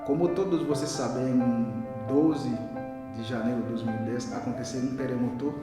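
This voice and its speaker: A man's voice: medium pitch, fairly even intonation, normal speed. A man in his thirties from Haiti, speaking with a Haitian accent in a casual interview. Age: 30s. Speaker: adult student interviewee. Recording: very echoey hall, clear music underneath.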